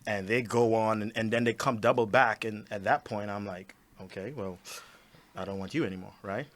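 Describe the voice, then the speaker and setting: A man's voice: fairly low pitch, normal speed. A man with a Trinidadian accent, telling dating stories in a casual group discussion and laughing often. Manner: laughs often, animated storytelling. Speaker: panel guest.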